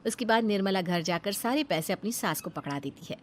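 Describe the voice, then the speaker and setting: A woman's voice: mid-range voice, lively intonation, a little fast, slight background noise. A woman reading scripted narration for a cartoon family story as its storyteller.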